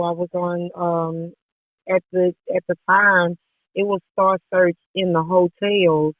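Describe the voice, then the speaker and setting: A woman's voice: fairly low pitch, no room sound. A woman singer from southeast Texas, telling her story as a radio guest. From southeast Texas and Louisiana. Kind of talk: phone-in interview. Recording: tinny phone line.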